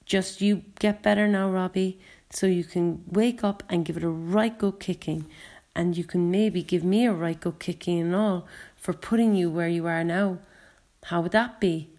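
A woman's voice: on the low side, fairly even intonation, normal speed, mellow, natural voice. A woman voicing a remorseful, kind Scottish father, earnest and apologetic, with a wobbly voice, in an audiobook story. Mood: gentle, apologetic. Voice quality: wobbly, emotional voice. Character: remorseful Scottish father who caused an accident, upset and kind.

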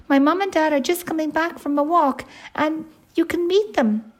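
A woman voicing a friendly, eager little boy bear cub with a squeaky, childlike voice in a children's storybook. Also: moderate pace, close to an everyday voice.

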